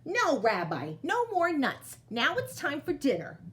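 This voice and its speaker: A woman's voice: high voice, huge pitch swings, unhurried, a bit bright, pitched above the performer's own voice, full character voice. A woman voicing a kindly, chatty old grandmother who fusses over and coaxes her guest in a storybook tale. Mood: kindly, fussing. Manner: fussing, coaxing, exclaiming.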